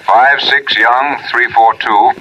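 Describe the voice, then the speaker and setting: Bright, forceful veteran auto-theft cop. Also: male voice, mid-range voice, steady tone, slow pace, dull audio. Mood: brisk. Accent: American. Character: folksy veteran male auto-theft cop, chatty and confident. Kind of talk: 1950s radio police drama acting.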